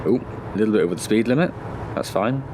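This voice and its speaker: A man's voice: on the low side, quick pace, heavy background noise. A man with a slight head cold casually thinking aloud as he plays a driving game, hosting his own gaming channel. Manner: chatty, thinking aloud, self-deprecating asides. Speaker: gaming video host. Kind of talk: casual let's-play commentary.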